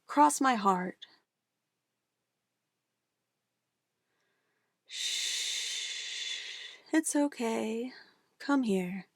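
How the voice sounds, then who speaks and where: Soft, creaky, possessive witch, acted by a woman. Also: a little high, lively intonation, drawn-out words, no echo, studio quality, full character voice. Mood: soothing, sinister. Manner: cooing, coaxing, condescending sweet talk. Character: possessive young-sounding witch, sweetly menacing, doting on her familiar. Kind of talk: ASMR roleplay acting.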